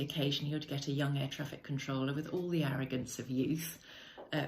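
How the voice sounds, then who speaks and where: A middle-aged woman speaking in a measured, reflective monologue, often pausing with "uh" and correcting herself. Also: low-pitched, vocal fry, a little hiss or hum. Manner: measured, thoughtful, with frequent "uh" and self-corrections. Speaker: podcast host.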